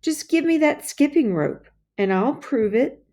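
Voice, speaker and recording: An earnest young schoolboy, acted out by a woman reading a storybook. The voice is raised high and pleads its case insistently. He sounds aggrieved and wants very much to be believed. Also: expressive, measured pace, dark tone. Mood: reproachful, defiant. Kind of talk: children's storybook character voice.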